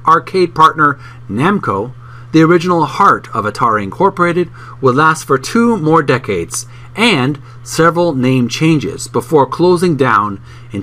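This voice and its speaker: Animated man reading aloud over faint music. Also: medium pitch. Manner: polite, courteous, stumbles and corrects himself while reading. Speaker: channel host reading a book aloud. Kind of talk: read-aloud narration and channel talk.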